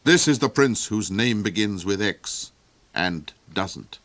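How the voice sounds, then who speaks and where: A man voicing a duke's sly agent, measured, dry and unruffled. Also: fairly low pitch, lively intonation, fairly bright tone. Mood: calm. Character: sly, calm male agent to a duke.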